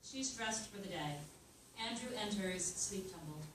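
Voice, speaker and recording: A woman reads scene directions at a table read in a steady, matter-of-fact voice. She is well away from the mic, in a strongly echoing room with clear background noise. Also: mid-range voice, a little slow, distant mic. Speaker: narrator reading stage directions. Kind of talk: script table read narration.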